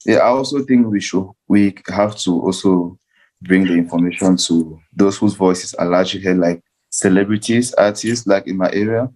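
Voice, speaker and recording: A man's voice: deep voice, normal speed, a little mellow, low-quality recording. A man giving earnest, unscripted remarks in a discussion, often rephrasing as he goes. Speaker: discussion participant.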